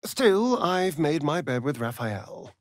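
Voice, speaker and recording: An actor playing a vain, sardonic vampire rogue in a video game, with an arch, drawling, theatrical delivery. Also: male voice, on the high side, very animated, takes it slowly, no echo, studio quality. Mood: wry. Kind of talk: video game character acting.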